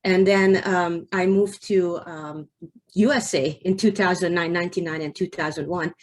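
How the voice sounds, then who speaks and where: A woman's voice: on the low side, steady tone, moderate pace. A woman whose first language is Hungarian, answering interview questions about her writing earnestly, with small restarts. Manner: earnest, with restarts and light laughs. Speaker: guest author.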